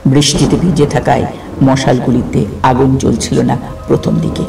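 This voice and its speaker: Creaky-voiced male horror storyteller. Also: medium pitch, level tone, moderate pace. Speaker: storyteller, narrator. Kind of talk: dramatic scripted horror narration. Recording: roomy, distant sound with music behind.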